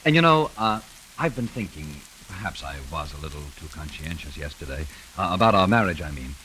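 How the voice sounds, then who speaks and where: Low, bright, priggish 1940s radio fiancé. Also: male voice, sing-song, a little fast, exaggerated voice, rough recording. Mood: hesitant, ingratiating. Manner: formal, patronizing. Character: priggish, smooth-talking fiancé, fussy and condescending. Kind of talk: 1940s radio drama acting. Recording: hissy 1940s radio recording.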